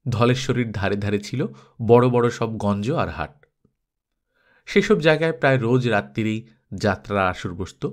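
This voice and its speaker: A man's voice: fairly low pitch, normal speed, pauses often. A man reading a children's storybook aloud, playfully speaking straight to his listeners with little asides. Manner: intimate, addresses listeners directly, playful asides.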